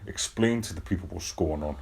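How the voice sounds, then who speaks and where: A man's voice: sits low, a little fast, vocal fry, a little mellow. A man playing a brash, sweary hard-man podcast host, blunt and peppering his talk with "yeah, right". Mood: confrontational. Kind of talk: comic casual chat.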